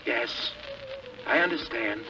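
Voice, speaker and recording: An actor playing a frightened, urgent rocket test pilot in 1950s radio drama style, on an old broadcast recording. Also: male voice, medium pitch, animated, slow pace, over music. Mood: grave, entranced. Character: frightened rocket test pilot, urgent, reliving alien encounter under hypnosis. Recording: muffled, noisy 1950 radio broadcast.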